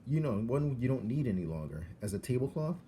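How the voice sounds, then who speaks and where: Guy doing a little storybook girl, polite but plucky, higher voice, faint noise. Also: very animated, fairly quick, dark tone, close to an everyday voice. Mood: polite request.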